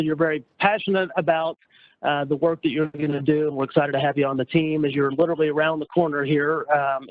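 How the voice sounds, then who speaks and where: A man moderating a virtual town hall, friendly and halting, often restarting his sentences. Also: fairly high pitch. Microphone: video call. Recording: dry, tinny video-call audio.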